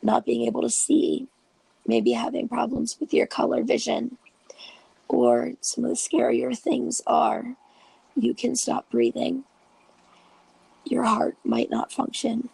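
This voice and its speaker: A heavily gravelly, husky voice from someone fighting a cold, talking at an unhurried, deliberate pace. The delivery is a patient, informal explanation that keeps slipping into half-whispered stretches. The sound is close and dry, with no room echo. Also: medium pitch, a little mellow. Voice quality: raspy, gravelly and breathy. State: has a cold, raspy voice. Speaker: video host and patient support group leader.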